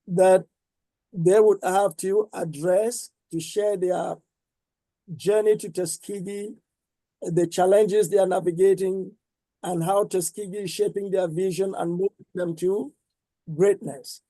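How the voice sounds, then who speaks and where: A man moderating a webinar panel, speaking in a measured, courteous way over a video call. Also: sits high, mellow, no echo, rough recording. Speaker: seminar moderator.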